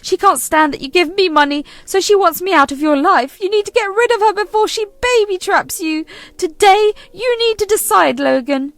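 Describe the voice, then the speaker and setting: A whiny, manipulative mother-in-law who accuses loudly and plays the victim, put on by a woman reading a story. Her voice is pushed well above the reader's own, strained and loud. Her complaints come out melodramatic and shrill. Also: animated, moderate pace, no room sound. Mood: shrill accusing.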